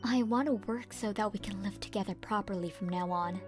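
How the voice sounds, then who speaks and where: A woman's voice: mid-range voice, brisk, over loud music, natural voice. A woman playing a timid, apologetic young mother in a manga dub, hesitant and humble. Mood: meek, hesitant. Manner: hesitant, meek.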